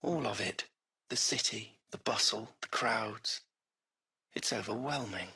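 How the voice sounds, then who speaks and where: An actor playing a polite artificial-intelligence car driver in a video game, with formal, courteous, hesitant phrasing and an anxious streak. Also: male voice, lowish voice, unhurried, creaky, bright, forceful tone, breathy edge, full character voice. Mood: frightened, overwhelmed. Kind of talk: acted video game dialogue.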